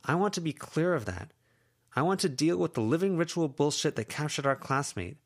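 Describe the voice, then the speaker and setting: A man's voice: a little high, animated, fairly quick, pitched above the performer's own voice. A man voicing an exasperated teenage girl who scolds her friends with tense, pleading frustration. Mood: frustrated scolding.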